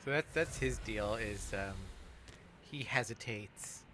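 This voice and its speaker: A man giving casual, halting commentary on a video game, explaining as he goes and repeating words. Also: fairly low pitch, pushed and bright. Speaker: let's play podcast host. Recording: clear background noise and game ambience.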